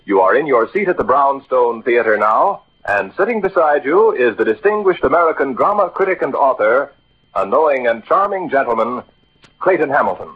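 A man's voice: mid-range voice, very animated, normal speed, husky. A man announcing a radio theatre programme in a dramatic, formal 1940s scripted style. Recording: tinny old radio recording. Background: music.

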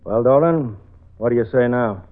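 An actor playing a brisk, businesslike police detective with a curt, probing manner in a vintage radio drama. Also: male voice, mid-range voice, lively intonation, natural voice. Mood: official, dry. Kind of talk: 1940s-50s radio drama acting. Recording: tinny old radio recording, faint music.

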